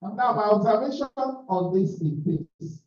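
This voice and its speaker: A man's voice: sits high, expressive, measured pace, a little mellow. A man from Nigeria, a visiting club president, giving assertive remarks at a meeting. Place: meeting room. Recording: echoey room, distant dull sound.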